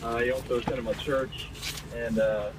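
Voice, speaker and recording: A man's voice: mid-range voice, level tone. Distant, hesitant American male caller. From Pennsylvania, United States. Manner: hesitant, halting, pauses often. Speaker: radio show caller. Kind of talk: earnest anecdote told on a call-in show. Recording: distant, noisy phone call.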